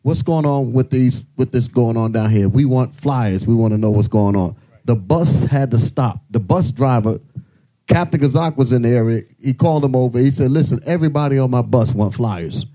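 A man's voice: medium pitch, moderate pace, warm tone. A man telling a story to a congregation in a rambling way, correcting himself as he goes.

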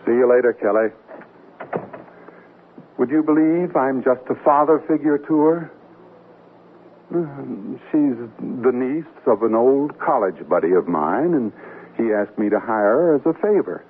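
A man's voice: medium pitch, lively intonation, measured pace, pauses often, close to an everyday voice. An actor playing a wry, middle-aged American newlywed businessman with a dry, wisecracking delivery in a radio comedy drama. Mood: wry, cheerful. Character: newlywed businessman husband, wry, playful, mildly jealous. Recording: tinny, noisy old radio broadcast recording.

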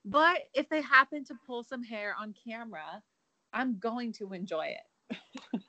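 A woman's voice: fairly high pitch, measured pace, bright, forceful tone, poor audio. A young woman co-hosting a casual gossip chat, wry and giggly, hedging with lots of likes and I means. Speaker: podcast co-host.

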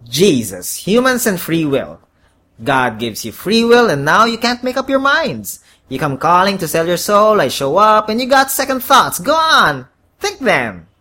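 A man's voice: sits high, very animated, measured pace, bright, forceful tone, pitched above the performer's own voice, exaggerated voice. A man voicing an impatient, weary devil who talks like a streetwise, wisecracking salesman in an audio story. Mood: exasperated, bargaining. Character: brash, impatient horned devil, a weary wheeling-dealing salesman.

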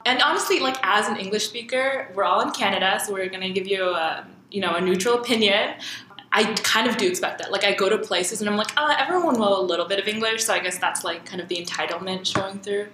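A young woman co-hosting a casual TV recap, chatty and quick to laugh. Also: medium pitch, sharp tone, a little hiss or hum. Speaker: co-host. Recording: roomy echo.

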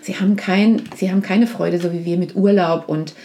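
A woman's voice: lowish voice, fairly even intonation, normal speed, warm tone, a little hiss or hum. A woman being interviewed on a podcast about her outreach work, speaking earnestly with many fillers and restarts. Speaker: guest from a support organization.

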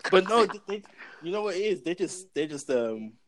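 A man's voice: high voice, normal speed. A young man with a London accent, chatting and laughing often as a podcast guest. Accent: London English. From England. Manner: laughs often, animated storytelling. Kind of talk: casual chat.

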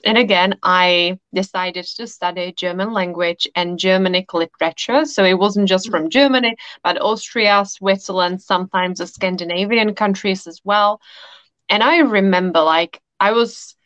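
A woman from the Republic, a podcast guest telling stories in a heartfelt, unhurried, reflective way. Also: medium pitch, fairly bright tone, no echo. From Czech Republic. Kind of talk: warm storytelling chat. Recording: dull dry lo-fi audio.

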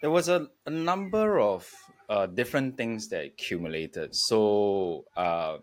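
A man speaking as an interview guest, reflecting in a halting way with pauses between short phrases. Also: on the low side, lively intonation. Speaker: podcast guest, entrepreneur.

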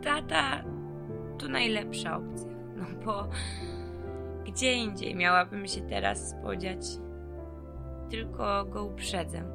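A woman's voice: mid-range voice, slow pace, creaky, pauses often. An actress playing a weary, hurt woman just released from prison in an audio drama. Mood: pensive, wistful. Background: background music.

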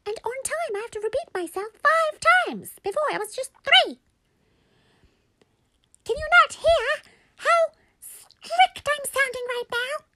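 A woman voicing a sweet, squeaky-voiced mother who is trying to sound strict as she fusses and scolds. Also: animated, measured pace, pauses often, very noisy. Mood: exasperated. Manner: fussing, scolding in a tiny sweet voice.

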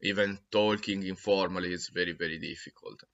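Halting deep-voiced Italian man. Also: steady tone, creaky, fairly bright tone, lo-fi sound. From Italy. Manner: halting, many fillers and restarts. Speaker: academic guest. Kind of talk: answering an interview question.

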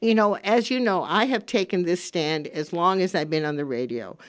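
A woman's voice: on the low side, normal speed. A woman in her seventies hosting a talk radio show, speaking casually and emphatically, repeating phrases for stress as she gives her opinions. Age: 70s. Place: radio studio.

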